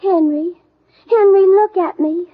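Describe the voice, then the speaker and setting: A woman's voice: extremely high pitch, a little slow. An actress playing a clingy, cutesy, pouting teenage girl with cloying baby talk, in 1940s radio comedy style. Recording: muffled, lo-fi old radio broadcast.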